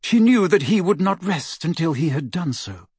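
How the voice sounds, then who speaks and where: Guy doing a proud young lady ghost, stiff n disdainful, bit husky, audiobook character. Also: fairly high pitch, animated, moderate pace, darkish tone, no room sound, clean studio sound, voice pushed up, exaggerated voice. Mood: confessional, sorrowful. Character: haughty, proud young woman ghost, cool and guarded.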